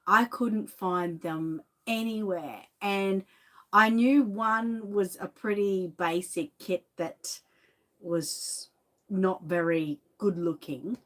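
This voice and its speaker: A woman's voice: mid-range voice, steady tone, slow. Chatty Australian woman with a cough. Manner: chatty, greets viewers by name. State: lingering cough. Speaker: reborn doll artist and shop owner. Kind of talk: casual livestream chat. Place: home studio room.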